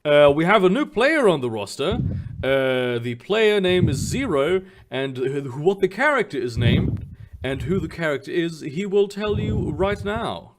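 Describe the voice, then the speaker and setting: A man's voice: fairly high pitch, unhurried, background noise. A man acting as game master, rambling and then reading out a mission brief. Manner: rambling, animated, reads mission briefs aloud. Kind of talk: running a tabletop game.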